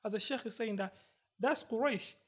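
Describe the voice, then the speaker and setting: A man with a notably high-pitched, husky voice talks in a casual group discussion. He is earnest, often repeating his phrases and putting rhetorical questions to his listeners. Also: normal speed. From Britain. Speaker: panel discussion participant.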